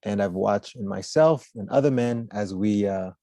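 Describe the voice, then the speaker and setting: A man moderates a discussion in a lowish, mellow voice. He speaks hesitantly, pausing often. The sound is dry and close. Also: dry recording. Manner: hesitant, with frequent pauses. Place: online video meeting.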